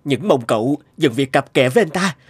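Earnest, hesitant man, lively intonation. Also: medium pitch, brisk, husky, dry recording. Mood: concerned. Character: earnest, hesitant adult man, former unruly schoolboy. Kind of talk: cartoon dubbing.

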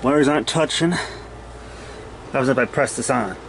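A man's voice: medium pitch, a little slow, vocal fry, a little hiss or hum. A man giving casual, off-the-cuff repair commentary in a plain-spoken, thinking-aloud way, recorded on a handheld camera in a cluttered workshop. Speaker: hobbyist repairer narrating own video.

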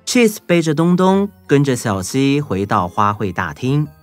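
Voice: medium pitch, very animated, a little slow, soft background music. A narrator reading a scripted children's story.

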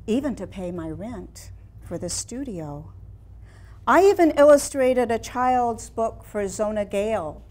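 A woman in her sixties playing a proud, wistful woman painter in a theatrical, deliberate staged reading. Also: mid-range voice, darkish tone. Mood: rueful. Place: small room in a house before a seated audience.

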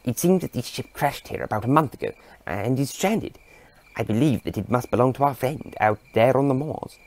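A man playing an excitable, erudite old male scientist in an audio drama, with a fussy, courteous, delighted manner. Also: mid-range voice, normal speed, pitched above the performer's own voice, lightly acted. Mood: fascinated.

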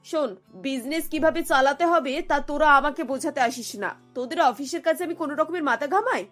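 A woman's voice: sits high, brisk, a bit bright, soft background music. A woman voicing a snobbish, bossy middle-aged mother-in-law in a cartoon, scornful and dropping English words into her talk. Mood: bossy, annoyed. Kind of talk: Bengali cartoon voice acting.

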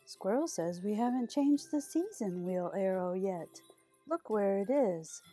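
A woman's voice: mid-range voice, animated, measured pace, dark tone, faint music behind. A middle-aged woman hosting storytime for small children, with an exaggerated, sing-song delivery as she talks and reads aloud. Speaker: children's library storytime host. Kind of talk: children's storytime, talking to young viewers and reading aloud. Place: small decorated indoor storytime set.

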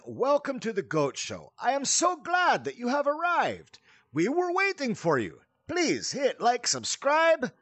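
A man's voice: very high voice, fairly bright tone, no room sound, full character voice. A man playing a grandly welcoming show announcer, giving a theatrical scripted greeting in a showy, sing-song manner.

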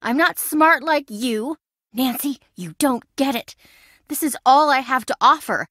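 Video game role: an insecure, boy-crazy young woman, dramatic, very high voice with big swings. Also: a little slow, no room sound, clean studio sound, full character voice. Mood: anxious, despairing. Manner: dramatic, expressive, emotionally swinging.

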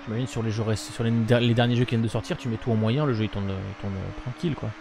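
A man's voice: on the low side, fairly even intonation, fast talker, gravelly, music in the background. A young man chatting casually on a live stream from his room, rambling with lots of fillers. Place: home room with webcam. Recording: noisy stream with game sound.

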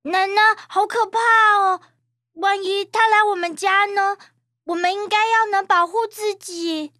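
A woman's voice: very high voice, fairly even intonation, measured pace, fairly bright tone, dry recording, clean studio sound, soft background music. A woman voicing a cautious, loyal little girl in a cartoon, talking earnestly and with worry. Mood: scared. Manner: earnest, worried. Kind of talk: cartoon dubbing.

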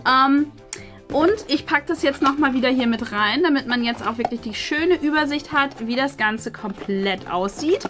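A woman in her thirties with a high voice, gushing her way through a casual unboxing as host. She talks straight to viewers in an enthusiastic, chatty way. Faint music plays behind her. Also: moderate pace, fairly bright tone. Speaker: host unboxing an advent calendar. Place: small furnished indoor studio.

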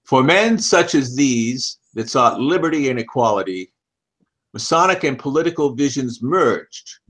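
An older man in his seventies reads an article aloud as scripted narration, in measured, deliberate phrases. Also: mid-range voice, a bit bright. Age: older, about 70s. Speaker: reader of an article. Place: small home office, indoors. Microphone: headset.

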